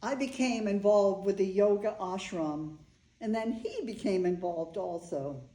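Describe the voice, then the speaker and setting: A woman's voice: medium pitch, a little slow, mellow, lightly acted. A woman reading the part of an older bride in a staged play, wry and fondly reminiscing. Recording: roomy, echoing live room recording.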